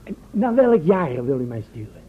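A man's voice: fairly high pitch, very animated, moderate pace. An actor playing a nervous, reluctant, naive helper in a radio play, hesitant and rambling as he protests. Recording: muffled, phone-like old radio recording, faint noise.

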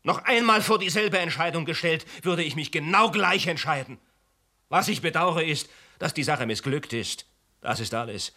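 An actor playing a self-righteous insurance agent who confesses to murder in a deliberate, formal, unrepentant way in a German radio play. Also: male voice, on the high side, lively intonation, sharp tone, heavily acted. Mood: defiant.

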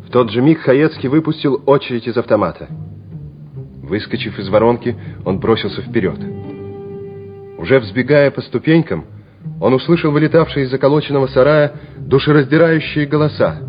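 A man narrating a radio play in a dramatic, scripted storytelling style. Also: fairly low pitch. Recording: dull old radio drama recording. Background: music.

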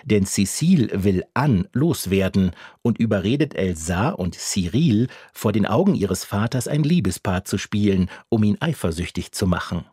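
A man's voice: lowish voice, expressive, normal speed, few pauses, warm tone, slightly rough, no room sound, clean studio sound. A man working as a radio critic, reading a scripted review.

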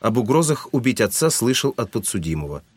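A man's voice: mid-range voice, big pitch swings, fairly quick, husky, no room sound. A man narrating a literary audio drama in a scripted, dramatic storytelling style. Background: music.

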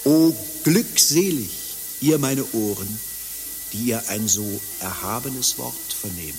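An actor playing a lovesick, melancholy gentleman fugitive in a German radio play, declaiming poetically and hesitantly. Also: mid-range voice, lively intonation, takes it slowly, faint music behind. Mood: rapturous, mournful.

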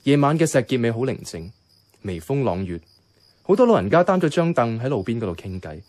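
An actor playing a mild, wry young man narrating his life as a reluctant flatmate in a Cantonese radio drama, with a Hong Kong accent and a casual, understated delivery. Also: mid-range voice, a little fast. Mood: chatty, relaxed. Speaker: narrator and lead character. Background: background music.

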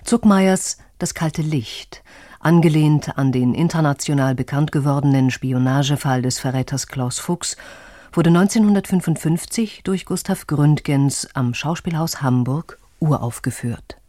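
Female radio presenter, deep mellow voice, scripted narration, dry sound. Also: a little slow, dry recording. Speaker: radio announcer introducing the play.

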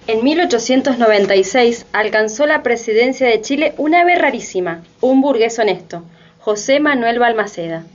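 Higher-pitched woman radio narrator. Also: lively intonation, normal speed, muffled recording, a little hiss or hum. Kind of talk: scripted radio drama narration.

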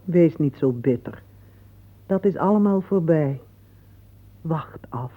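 An actor in a radio play voicing an earnest, dutiful ghost of a fallen soldier. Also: male voice, a little high, animated, slow. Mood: earnest, consoling. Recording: thin phone-like old radio recording.

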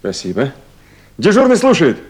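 Radio drama: brisk, kindly official on night duty, fairly high voice, very lively intonation. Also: male voice, slightly rough, full character voice. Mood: attentive, businesslike. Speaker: actor. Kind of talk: 1970s Soviet radio play acting.

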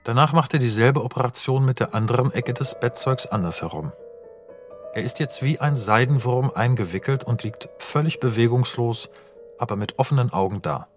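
Calm male narrator over faint music. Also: medium pitch, moderate pace. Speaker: narrator reading stage directions. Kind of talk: scripted narration.

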